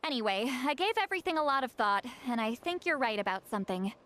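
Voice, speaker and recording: High-pitched young female shaman, swooping intonation, aloof, grumpy, soft underneath, anime game. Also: expressive, fast talker. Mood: haughty, brisk. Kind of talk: anime video game voice acting.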